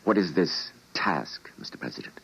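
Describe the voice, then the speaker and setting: An actor playing an earnest, principled doctor with a dramatic delivery in an old-time radio drama. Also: male voice, sits low, big pitch swings, moderate pace, vocal fry, fairly bright tone, slightly rough, full character voice. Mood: shocked, indignant. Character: earnest, principled male doctor, troubled and indignant. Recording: muffled vintage radio drama recording.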